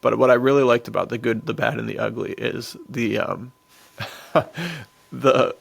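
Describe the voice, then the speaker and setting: A man's voice: mid-range voice, fairly even intonation, unhurried, creaky. A man chatting casually as a podcast co-host in a laid-back, slangy way. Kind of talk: casual chat about western films.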